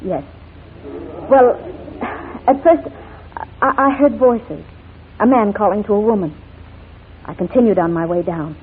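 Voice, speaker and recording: A woman's voice: mid-range voice, unhurried, long pauses, a little hiss or hum, close to an everyday voice. Woman acting a guarded, anguished lady, tinny radio. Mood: hesitant. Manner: hesitant, restrained, genteel. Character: guarded, anguished titled lady on the witness stand. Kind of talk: 1940s radio drama acting. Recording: tinny, muffled 1940s radio broadcast.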